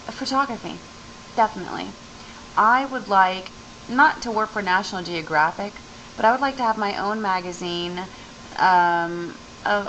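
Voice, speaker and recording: A woman's voice: lowish voice, a bit bright, slight background noise, rough recording. A young woman vlogging at home, rambling casually to her camera about packing and personal things. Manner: chatty, rambling, loose and informal. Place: home room among moving boxes.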